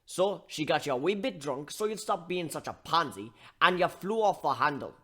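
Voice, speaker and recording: Man acting a gruff barkeep mentor, blunt, colloquial, animated pitch, tough-love lecturing, dramatic audio story. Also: moderate pace, exaggerated voice.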